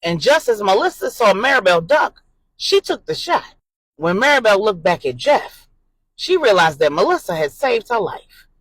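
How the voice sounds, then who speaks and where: A woman narrating an adult drama story in an expressive, suspenseful way and voicing the characters' dialogue. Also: medium pitch, moderate pace, dry recording, low-quality recording.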